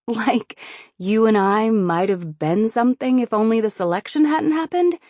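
A woman's voice: fairly high pitch, normal speed, darkish tone, no echo, lightly acted. A woman reading an audiobook voices a proud young queen who speaks firmly and formally. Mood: indignant. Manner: firm, formal, indignant. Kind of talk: audiobook character voice.

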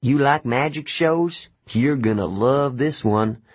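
A crude, sneering trucker taunts the animals in a loud, raised voice with a high pitch, as a man puts him on. He drawls each line out slowly. The husky voice sounds dry and close. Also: no room sound. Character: coarse, mocking male trucker.

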